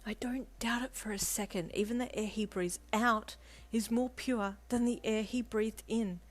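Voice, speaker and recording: Lady doing a timid shop girl superfan, breathy, high and panicky, storybook style. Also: normal speed. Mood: awestruck. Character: timid, awestruck shop co-worker, panicky devoted fan.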